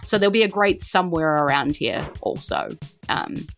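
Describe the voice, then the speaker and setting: Casting: female game master, New Zealand accent, slightly low voice, informal improvised storytelling over music. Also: expressive, normal speed. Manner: informal, hesitant, loosely descriptive. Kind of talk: improvised tabletop game narration.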